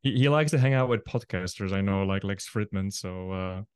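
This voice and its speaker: Belgian male podcast host, Dutch native, low smooth voice, dry close-miked sound; easygoing chat. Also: normal speed, no echo. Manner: relaxed, friendly, many fillers. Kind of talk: casual interview chat.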